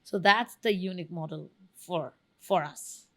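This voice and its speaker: A woman's voice: fairly low pitch, a little slow, pushed and bright. A woman from Bangladesh, answering interview questions emphatically and enthusiastically. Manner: emphatic and enthusiastic, lists examples. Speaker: guest, venture studio founder.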